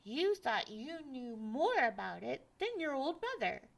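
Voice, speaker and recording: Lady playing a strict old wind mother, scolding, slow, gravelly. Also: sits high, big pitch swings, pitched above the performer's own voice, heavily acted. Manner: stern, scolding.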